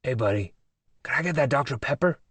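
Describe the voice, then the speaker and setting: Man voicing a pushy mustached guy at the movies, bouncy excitable voice, dry close sound. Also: medium pitch, fairly quick, slightly rough, no echo, pitched above the performer's own voice. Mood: breezy. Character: mustached adult man, mom's date, casual and pushy.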